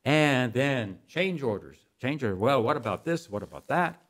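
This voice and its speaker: A man's voice: medium pitch, lively intonation, moderate pace, fairly bright tone. Older man explaining emphatically in conversation. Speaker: policy expert guest.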